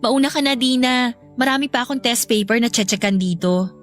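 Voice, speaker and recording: Actress as earnest, guarded young schoolteacher. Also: female voice, on the high side, fairly quick, over music, lightly acted. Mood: friendly, protesting. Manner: polite, formal, restrained. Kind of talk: radio drama acting.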